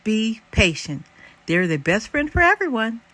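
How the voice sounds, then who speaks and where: A wise porcupine uncle from a storybook, as a woman reader puts him on. He is patient and reassuring, speaking in a measured way with expressive pitch. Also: no room sound, exaggerated voice.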